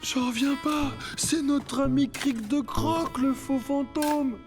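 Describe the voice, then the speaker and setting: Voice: high voice, steady tone, slow. Une voix de doublage de dessin animé qui joue un pirate peureux et superstitieux, inquiet, prompt à s'exclamer. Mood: puzzled. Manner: fretful, exclamatory. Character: timid, superstitious pirate crewmate, afraid of ghosts. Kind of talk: children's cartoon dubbing. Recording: loud music behind the voice.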